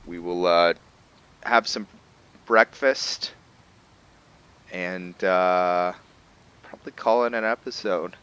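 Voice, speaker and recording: Slow, deep-voiced man gaming. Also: fairly bright tone, clear, resonant tone, muffled recording. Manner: rambling, thinking aloud with many ums. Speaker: gaming video creator. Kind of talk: casual let's-play commentary.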